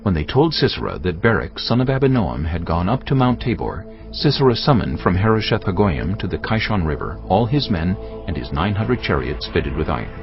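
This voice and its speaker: A man narrating scripture as a dramatised story, solemn and measured in his delivery. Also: low voice, very animated. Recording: dull audio with music behind. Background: music.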